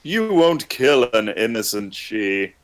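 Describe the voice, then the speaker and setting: A man's voice: medium pitch, very animated, slow, clear, resonant tone. A man voicing a possessed male fairy who has turned cold and threatening. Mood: menacing. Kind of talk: amateur online table read.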